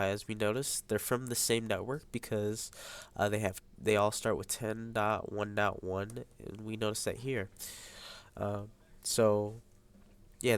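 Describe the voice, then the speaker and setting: Young man, congested nasal sound, slightly low voice, halting student tutorial delivery. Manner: hesitant, many ums and uhs. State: congested, stuffy nose. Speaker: high school student. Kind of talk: screen-recorded tutorial. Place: at a computer, voice over screen capture.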